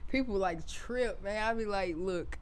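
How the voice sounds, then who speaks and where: A woman's voice: medium pitch, unhurried, smooth. A young American woman talking assertively and casually as an interview guest, using plenty of slang. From United States (Oklahoma and Nashville). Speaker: guest, musical performer. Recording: noisy room with ambient sound.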